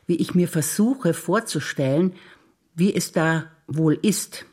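An older woman from Bavaria, a stage actor, reciting memories in a measured, reflective way. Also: fairly low pitch, a little mellow. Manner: measured, reflective, list-like delivery.

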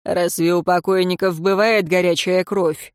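A woman voicing an irritable highborn lord in a fantasy audiobook, harsh and commanding, snarling out orders. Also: steady tone, normal speed, no echo, studio quality, close to an everyday voice. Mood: grim. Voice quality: hoarse. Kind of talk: dramatic fantasy audiobook character.

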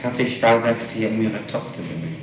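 A man putting formal questions as an interrogator, in methodical, halting phrases, on an old 1960s tape recording. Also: on the low side. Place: echoey room, recorded from a distance. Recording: noisy, echoey 1960s tape, distant microphone.

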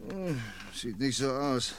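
A man playing a shrewd, dryly ironic police commissioner in an old radio crime drama, talking in a measured, lecturing way. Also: mid-range voice, expressive, a little hiss or hum. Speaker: police inspector.

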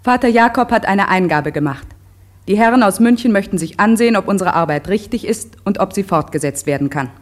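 An actress playing a settler woman who reads her diary in a measured, earnest way in a radio play. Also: mid-range voice, expressive, natural voice.

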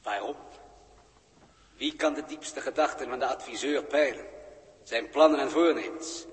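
Guy acting polite alien ex-pupil official, old radio play, formal measured talk, echoey hall sound. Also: mid-range voice, natural voice. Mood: solemn, revealing. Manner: formal, measured, stately diction. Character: composed, deferential male alien official, former pupil. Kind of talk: 1960s radio drama acting. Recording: reverberant 1960s radio studio recording.

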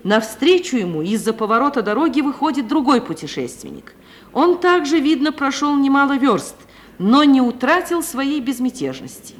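A woman's voice: sits high, big pitch swings, moderate pace. A woman reading scripted scene narration for a mid-century radio play. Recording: faintly noisy 1950 radio recording.

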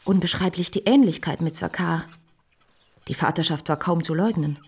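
An actress playing a composed, compassionate bourgeois lady who tells her story in a measured, earnest radio drama style. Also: fairly low pitch, a little mellow, slightly rough. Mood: troubled, resolute. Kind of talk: radio drama narration and dialogue.

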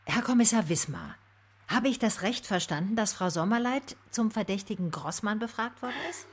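An actress playing a sceptical private detective in a radio drama, speaking in a probing, controlled and pointed way. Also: female voice, mid-range voice, lively intonation, normal speed, husky. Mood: probing, polite. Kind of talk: naturalistic radio drama dialogue.

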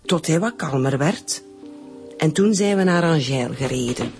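Low-voiced, talkative woman witness. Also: lively intonation, normal speed, over music. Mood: explaining, defensive. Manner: talkative, colloquial storytelling. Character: chatty, indignant woman giving a police witness statement. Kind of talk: radio drama dialogue.

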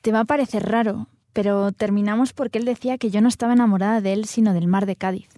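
A woman's voice: medium pitch, fairly even intonation, quick pace, dark tone, dry recording, studio quality, natural voice. An actress with an accent from Spain playing a coy, pensive woman with her lover in a radio drama. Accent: Spanish, from Spain.

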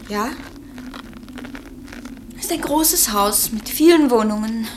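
An actress playing an affectionate young newlywed daughter in a mid-century radio play, soothing yet evasive and brittly cheerful. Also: female voice, a little high, expressive, slow. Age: young adult. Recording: noisy vintage radio play recording.

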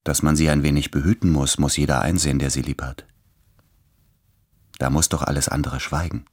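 An actor playing a brooding, tender man of letters in a German radio play, measured and reflective in a very deep, gravelly voice. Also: level tone. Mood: protective, earnest.

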